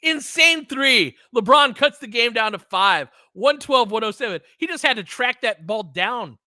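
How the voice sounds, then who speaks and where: A man's voice: extremely high pitch, lively intonation, normal speed, sharp tone, no room sound, studio quality. A man giving casual, slangy live basketball play-by-play as a partisan fan host, often in short clipped phrases.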